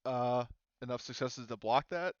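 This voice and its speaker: A man's voice: mid-range voice, moderate pace, dry recording, dull audio. A man running a tabletop roleplaying game as game master, casual and hesitant, often thinking aloud as he checks the rules.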